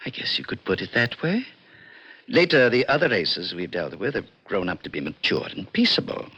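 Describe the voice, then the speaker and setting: An actor in an old radio drama playing a polite, serious alien visitor who speaks in a measured, precise, mildly condescending way. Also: male voice, on the low side, big pitch swings, bright, forceful tone, husky, no room sound, exaggerated voice. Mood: calm, matter-of-fact. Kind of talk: 1940s-50s radio drama acting. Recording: muffled vintage radio recording, faint music.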